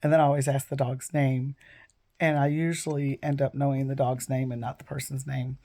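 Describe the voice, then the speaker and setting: A woman in her fifties talks to camera from home, her voice froggy and hoarse from a cold. Her tone is dark and mellow, with a rough gravel underneath. She rambles earnestly and keeps drifting into side stories. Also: rumbling low, level tone, brisk. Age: 50s. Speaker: vlogger. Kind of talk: casual storytelling to camera.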